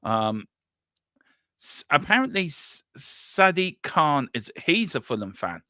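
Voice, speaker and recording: Bright-voiced man hosting a football stream. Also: fairly high pitch, takes it slowly, no echo, clean studio sound. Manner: lively, jokey match descriptions. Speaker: host of a football watchalong. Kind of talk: casual play-by-play chat.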